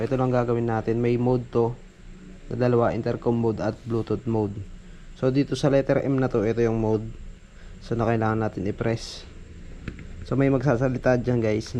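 A man's voice: mid-range voice, mellow, lo-fi sound. A man with a Filipino accent gives a casual hands-on product demo as a reviewer, informally addressing his viewers. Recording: noisy amateur recording with background ambience.

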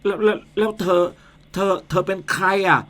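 A man's voice: medium pitch, lively intonation, normal speed, fairly bright tone. A man playing a jumpy young village man who grumbles and stammers in a radio ghost drama. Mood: scared.

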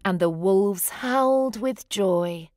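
A woman's voice: medium pitch, takes it slowly, darkish tone, no room sound. A woman reading a rhyming children's story aloud, expressively and with dramatic emphasis. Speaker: storybook reader.

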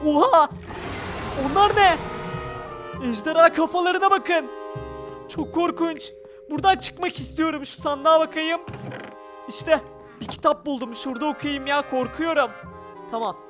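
A gamer giving excited, exclamatory gameplay commentary with role-play, narrating every action aloud in speech. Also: high-pitched, a little slow, long pauses. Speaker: gaming video creator. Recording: music behind the voice. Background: background music.

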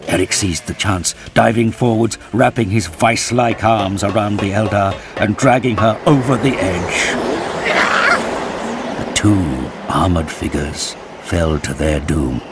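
A man giving dramatic, scripted narration of an action audio drama in a grave, measured storytelling manner. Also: fairly low pitch.

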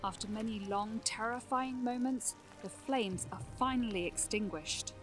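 A woman's voice: fairly high pitch, unhurried, soft background music. A woman reading scripted narration for a fantasy audio drama.

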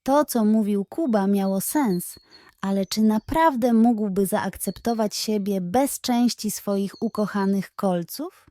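A woman's voice: mid-range voice, takes it slowly, dark tone, no echo, clean studio sound. A woman narrating a scripted bedtime story for children as a storyteller.

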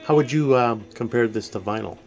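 A man's voice: mid-range voice, fairly quick, vocal fry, a little mellow, lo-fi sound, faint music behind. A man hosting from behind a handheld camera, casually chatting and asking questions indoors. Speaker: host filming and asking questions. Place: small room indoors.

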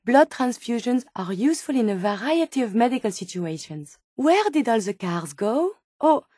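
A woman's voice: sits high, mellow, dry recording, studio quality. A woman voicing a polite, earnest female robot scientist companion in a video game, with a French accent and precise, formal, inquisitive speech.

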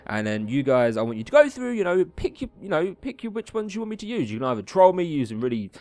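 A man's voice: fairly high pitch, big pitch swings, quick pace, darkish tone, dry recording. A man hosting a gaming video with chatty, colloquial, casual commentary. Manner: chatty, colloquial, reads story dialogue aloud theatrically. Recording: music behind the voice. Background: game music.

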